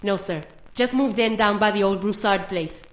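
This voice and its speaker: A woman playing a gentle, protective mother in an audio drama. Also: medium pitch, normal speed, close to an everyday voice. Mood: polite. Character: gentle, protective Southern mother, quietly uneasy.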